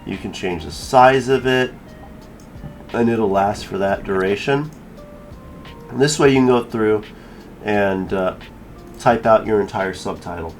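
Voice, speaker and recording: A man with a low voice talks through a screen-recorded software tutorial. He is informal and thinks aloud as he works, with faint music behind him. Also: a little slow, pauses often. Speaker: tutorial creator.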